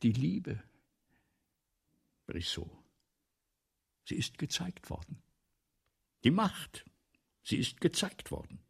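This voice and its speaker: A man acting in a German radio play as a grandiose, excitable playwright, pompous and theatrical, moving between whispers and outbursts. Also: on the high side, creaky, husky, heavily acted. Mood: excited, insistent. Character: grandiose, excitable male playwright scheming a lurid melodrama.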